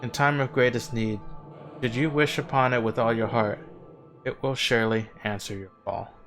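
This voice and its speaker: An actor playing the courteous, wistful spirit of an ancient man in a video game cutscene, speaking in formal, measured, gently wry phrases. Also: mid-range voice, steady tone, close to an everyday voice. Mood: warm reverence. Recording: game dialogue with music underneath. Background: background music.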